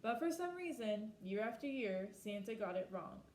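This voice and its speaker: A woman reading a children's picture book aloud expressively, slowing down for emphasis and pausing to ask her listeners questions. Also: medium pitch, big pitch swings, a little mellow, reverberant, a little hiss or hum. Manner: asks listeners reflective questions. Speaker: storybook reader.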